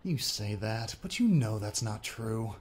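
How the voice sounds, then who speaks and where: A man's voice: lowish voice, animated, measured pace. A man playing a pushy, aggravated ex-boyfriend who needles and gets defensive in an audiobook drama. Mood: insistent. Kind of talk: audiobook character dialogue.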